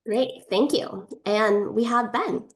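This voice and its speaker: A woman's voice: a little high, slow pace. A woman hosting an online panel as moderator, polite and organized, with frequent fillers, on a video call. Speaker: moderator, admissions director.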